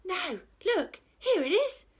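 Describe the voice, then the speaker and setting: An eager female pirate from a children's story, speaking in a high, breathless voice, as a woman reading aloud does her. She rushes her words out. She sounds keen and quick. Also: very animated, exaggerated voice. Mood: excited. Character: excited young female pirate.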